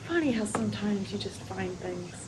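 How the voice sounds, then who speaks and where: An actress at a script read playing a young woman who speaks softly and reflectively about a lost keepsake. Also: mid-range voice, expressive, moderate pace, noisy background, natural voice. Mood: wistful. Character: young woman meeting a man, wistful. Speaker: actor at a table read.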